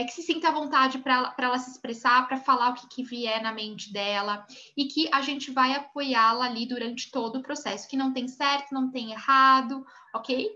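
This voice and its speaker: A woman's voice: high-pitched, pushed and bright, highs cut off. A Brazilian woman teaching an online class on image consulting, chatty and full of little check-ins like ok. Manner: chatty, many tag questions like ok and né.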